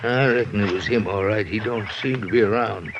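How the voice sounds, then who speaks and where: An actor playing a flustered, evasive old rural camp keeper in a radio drama, gravelly, folksy and hesitant. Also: male voice, lowish voice, fairly quick, natural voice. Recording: dull, noisy vintage radio recording.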